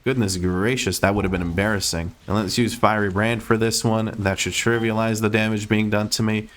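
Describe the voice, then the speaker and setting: Gamer man, deep, slightly hoarse, casual play-by-play over faint hiss. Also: flat delivery, fairly quick, fairly bright tone. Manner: rambling, self-correcting play-by-play. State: losing his voice. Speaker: game streamer. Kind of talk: live gameplay commentary.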